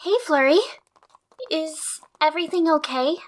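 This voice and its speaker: A woman voicing a bubbly, caring teenage girl pony in a fan audio drama, very expressive. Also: high-pitched, a little slow. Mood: concerned. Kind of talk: animated-fandom audio drama acting.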